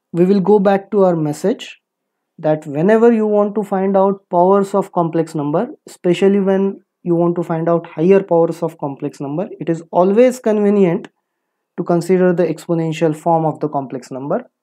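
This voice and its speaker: A woman lecturing in mathematics, explaining step by step in a deliberate, instructive manner and posing questions that she answers herself. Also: low-pitched, warm tone. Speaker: physics lecturer.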